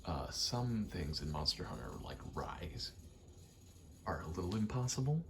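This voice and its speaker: Hushed, very low-voiced man rambling softly. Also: very animated, soft background music. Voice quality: soft, hushed, often slipping into whisper. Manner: halting, rambling, thinking aloud in short pieces. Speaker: ASMR creator, gamer; actor and stage combatant. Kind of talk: ASMR ramble over video game play, casual.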